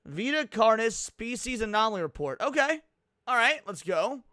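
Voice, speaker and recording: A man with a high, clear voice streams casual reaction commentary. He talks in loose slang with plenty of swearing and an excitable manner. The sound is close and very dry. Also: normal speed, dry recording. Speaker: streamer reacting to horror videos.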